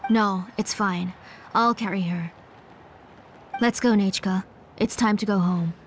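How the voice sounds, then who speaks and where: A woman playing a gentle but strict, protective mother in a video game, speaking in a courteous, measured and firm way. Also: medium pitch, a little hiss or hum, lightly acted. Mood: firm, concerned. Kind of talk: game dub acting.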